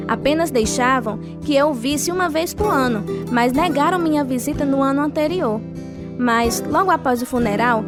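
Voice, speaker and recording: A woman's voice: high-pitched, lightly acted. An actress playing a devout, grieving mother and steadfast wife in a radio drama, earnest and sorrowful. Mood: sorrowful recounting. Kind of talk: radio drama acting and first-person narration.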